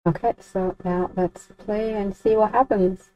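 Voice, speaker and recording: A woman giving a casual software walkthrough as a tutorial host, talking as she works through the steps. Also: medium pitch, fairly even intonation, a little slow, slight background noise. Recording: dull lo-fi home recording.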